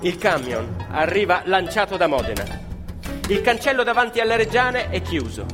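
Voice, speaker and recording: A man's voice: big pitch swings, normal speed. A man telling a story as dramatic narrative theatre, emphatic and repeating phrases for effect. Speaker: stage storyteller and actor. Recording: live music playing behind the voice. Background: live violin, piano and double bass music.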